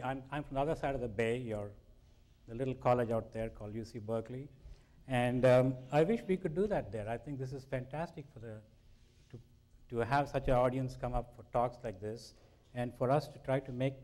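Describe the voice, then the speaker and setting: A middle-aged man with an Indian accent delivers a public science lecture. He speaks in a relaxed, self-deprecating way, pushing hard on key words, at a middle pitch for a man.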